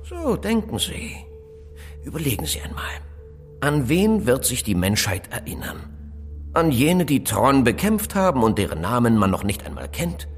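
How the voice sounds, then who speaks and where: An actor playing an evasive, cautious phone inventor in an audio drama, speaking politely and deliberately, with rhetorical questions. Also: male voice, medium pitch, wildly swooping pitch, soft background music. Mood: cryptic, reflective. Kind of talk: German audio drama dialogue acting.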